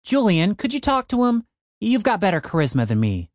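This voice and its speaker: A man voicing a jittery young adventurer who sounds nervous and quick to snap. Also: high-pitched, huge pitch swings, no echo, clean studio sound, pitched above the performer's own voice. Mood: tense.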